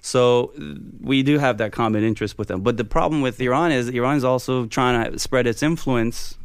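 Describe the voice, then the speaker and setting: Blunt man with clear, smooth voice. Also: medium pitch, moderate pace, dry recording. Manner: blunt, heavily profane, colloquial. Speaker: political commentator guest. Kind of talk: casual political discussion.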